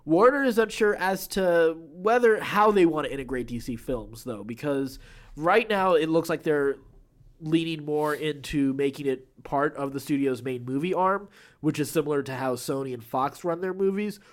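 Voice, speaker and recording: A man's voice: a little high, normal speed. A man co-hosting a podcast, chatting casually about film news. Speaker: podcast co-host.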